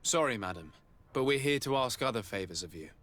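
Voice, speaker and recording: An actor playing a composed, courteous but pressing investigator in a video game. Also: male voice, medium pitch, lively intonation, a little fast, fairly bright tone, lightly acted. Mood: polite, probing.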